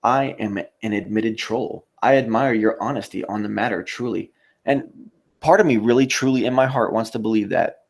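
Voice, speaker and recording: A man hosting a casual livestream, reading out chat comments and replying in a rambling, halting way. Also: fairly low pitch, vocal fry. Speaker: live stream host.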